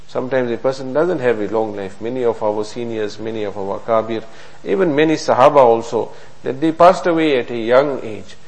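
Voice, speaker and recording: A man giving a hadith lesson in an earnest, repetitive preaching manner, asking rhetorical questions and reciting Arabic quickly. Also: mid-range voice, rough recording. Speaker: religious teacher.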